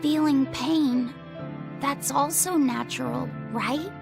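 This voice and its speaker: A shy little boy in a fantasy game. The voice is high and slow, soft-spoken and earnest, halting timidly over words while music plays behind. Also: creaky, heavily acted. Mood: concerned. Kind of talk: English video game voice acting.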